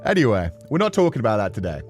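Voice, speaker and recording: A man's voice: on the low side, very animated, rapid-fire, darkish tone, faint music behind. A man chatting casually and expressively as a livestream host before playing a game. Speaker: livestream host, gaming streamer. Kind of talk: casual rambling stream chat before a game.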